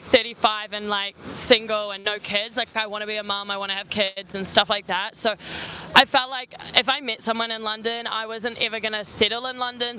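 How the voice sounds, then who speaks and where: A woman's voice: on the high side, sharp tone, low-quality recording. A woman in her twenties with a New Zealand accent, chatting casually on a phone livestream outdoors, full of "like" fillers. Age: 20s. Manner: chatty, filler-heavy with frequent "like". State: sweaty, squinting in the sun. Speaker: travel vlogger. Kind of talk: casual livestream chat answering viewer questions. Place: outdoors on a beach promenade. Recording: faint outdoor street noise. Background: faint street and traffic noise.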